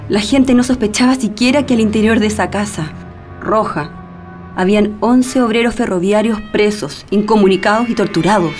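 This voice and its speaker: A woman's voice: mid-range voice, normal speed, darkish tone, soft background music, close to an everyday voice. A woman playing a grieving, insistent witness who solemnly recalls the past in a radio drama, with a Chilean accent. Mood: solemn, sorrowful. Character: grieving woman haunted by memories of prisoners. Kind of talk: radio drama acting, reminiscing.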